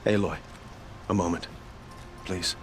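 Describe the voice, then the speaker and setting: An actor playing a dignified, grieving king in a video game, speaking in a formal, measured, courtly way. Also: deep voice, fairly bright tone, exaggerated voice. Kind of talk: dramatic video game acting.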